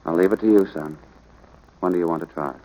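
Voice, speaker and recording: A man's voice: low voice, quick pace, dull audio. A man playing a firm, plainspoken rancher father in a 1940s radio drama, terse and matter-of-fact. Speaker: actor in radio play.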